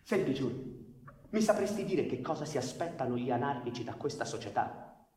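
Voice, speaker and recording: A measured male questioner in a radio drama, acted by a man. He probes in a middling register, his words sounding distant and washed in long reverb. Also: mid-range voice, animated, darkish tone, close to an everyday voice. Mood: puzzled, probing. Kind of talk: radio theatre acting. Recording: distant, echoey hall.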